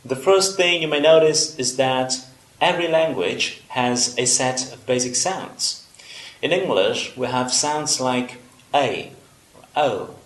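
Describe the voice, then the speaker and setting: A man from Vietnam with a Vietnamese accent, a native Vietnamese speaker, teaching an English lesson in a deliberate, explanatory way with pauses between phrases. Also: mid-range voice, a bit bright. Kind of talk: instructional video lesson. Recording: roomy, echoing room sound.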